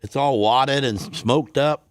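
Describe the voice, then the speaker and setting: A man's voice: mid-range voice, slow, smooth, no room sound, studio quality. A man bantering casually as a radio show sidekick, with a folksy, blunt way of talking. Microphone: close studio microphone.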